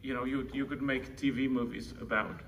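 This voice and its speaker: A man's voice: medium pitch, fairly even intonation, fairly quick, distant mic, lo-fi sound. A man from the Republic, giving a conversational keynote introduction at a conference, with restarts and asides to the audience. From Czech Republic. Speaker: keynote presenter introducing his co-founder. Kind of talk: live conference speech. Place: large conference room. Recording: conference room, speaker away from the mic, faint room noise.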